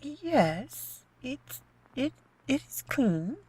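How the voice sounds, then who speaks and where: A woman's voice: high-pitched, very animated, very slow, a little mellow, breathy edge, dry recording, exaggerated voice. A woman acting a meek, naive housekeeper in a horror radio play, deferential, hesitant and uneasy.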